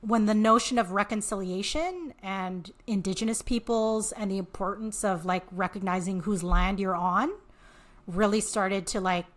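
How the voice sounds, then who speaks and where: A woman's voice: medium pitch, normal speed. A woman with a Canadian accent talking casually as an interview guest, explaining and often restarting her sentences mid-thought. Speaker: podcast guest, author and marketing entrepreneur.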